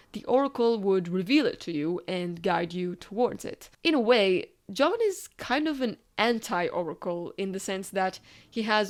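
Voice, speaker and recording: A woman giving scripted, analytical narration as a video essayist, explaining step by step with rhetorical questions and deliberate pauses. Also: mid-range voice.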